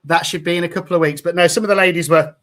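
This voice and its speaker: A man's voice: high voice, fairly even intonation, rapid-fire, fairly bright tone. A man hosting a live craft stream over a video call, chatty and self-deprecating, laughing easily. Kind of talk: casual live-stream chat.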